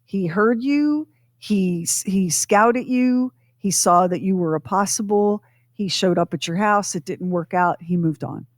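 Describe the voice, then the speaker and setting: A woman co-hosting a radio show, talking casually and explaining things in emphatic, deliberate phrases. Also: medium pitch, dry recording, clean studio sound. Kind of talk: casual conversational podcast talk.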